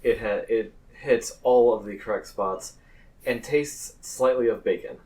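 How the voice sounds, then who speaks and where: Unhurried, low-voiced man narrating a tabletop game. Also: darkish tone, distant mic. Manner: hesitant, pausing mid-phrase. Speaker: game master.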